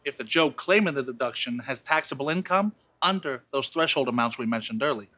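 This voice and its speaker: A man's voice: medium pitch, expressive, a little fast, pushed and bright. A man playing a wisecracking bartender. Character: friendly, joking male bartender. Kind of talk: noir parody acting.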